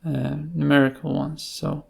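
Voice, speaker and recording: A man giving an unscripted tutorial explanation in a halting way, with many filled pauses. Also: a little high, flat delivery, creaky, husky. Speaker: programming tutorial presenter.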